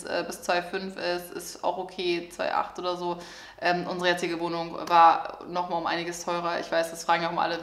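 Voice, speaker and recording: A woman in her twenties from northern Germany, chatting excitedly with many fillers in a casual vlog. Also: on the low side, roomy sound. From Germany, Lower Saxony near Hamburg. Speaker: vlogger. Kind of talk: casual life update vlog. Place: apartment room.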